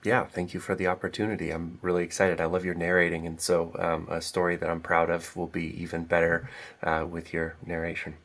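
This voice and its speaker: A man speaking as a guest author in a casual interview, halting and reflective, often pausing mid-sentence. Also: sits low, monotone, a bit bright.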